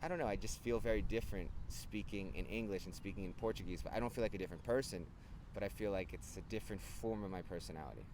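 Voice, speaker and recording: An American man from New Jersey, hosting a casual vlog and musing in a relaxed way, recorded on a handheld camera outdoors. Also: deep voice, quick pace. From New Jersey, United States. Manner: relaxed, musing, many "like" asides. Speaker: vlog host. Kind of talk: casual chat. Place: city street outdoors. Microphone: handheld camera at arm's length. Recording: outdoor city traffic noise.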